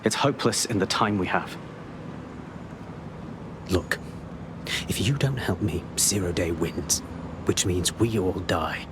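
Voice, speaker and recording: Low-voiced, guarded male intelligence operative. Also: slow, pauses often, noisy background. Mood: tense, insistent. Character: weary, secretive male intelligence operative, grave and controlled. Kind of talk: dramatic video game acting.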